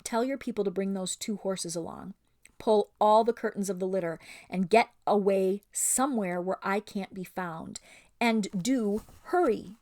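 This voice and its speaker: A woman's voice: mid-range voice, warm tone, no room sound, studio quality, close to an everyday voice. A woman doing a frightened, urgent young runaway. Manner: urgent, whispered and hissing.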